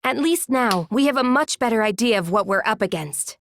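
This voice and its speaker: A woman's voice: on the high side, expressive, fairly quick, a little hiss or hum. An actress playing a resolute, no-nonsense young warrior woman in a video game, speaking in a terse, businesslike way. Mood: serious. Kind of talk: video game cutscene acting.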